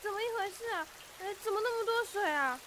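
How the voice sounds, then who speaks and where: A woman's voice: very high-pitched, expressive, fast talker, a bit bright, a little hiss or hum. A woman voicing a small, naive young mouse with a childlike, innocent and emotional way of talking in a children's audio story. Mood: puzzled.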